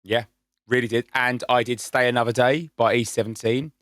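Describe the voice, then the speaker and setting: A man's voice: medium pitch, fairly even intonation, moderate pace, a bit bright, no echo, studio quality. A man co-hosting a casual podcast, telling stories in an enthusiastic, self-mocking way. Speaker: podcast co-host. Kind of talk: casual chat.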